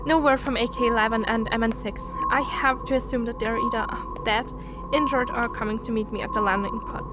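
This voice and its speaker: A woman playing an anxious, stranded spaceship crew member in an audio drama, speaking in a worried, halting log. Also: on the high side, fairly even intonation, heavy background noise, natural voice. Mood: urgent, anxious. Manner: worried, halting, self-correcting.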